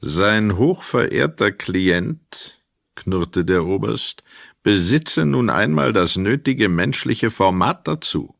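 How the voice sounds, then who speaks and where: A man narrating a literary radio play in a measured, formal way. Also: lowish voice, lively intonation, creaky, fairly bright tone, dry recording, studio quality.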